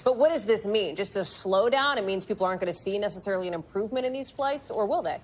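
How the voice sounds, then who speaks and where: A woman's voice: mid-range voice, fast, a little hiss or hum. American woman anchoring live news.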